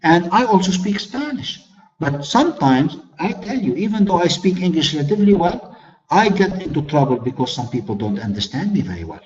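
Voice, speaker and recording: A man's voice: a little high, big pitch swings, a little fast, lo-fi sound. A man whose first language is Arabic, giving a webinar lecture on leadership as a corporate trainer, earnest and fond of rhetorical questions and repeated phrases.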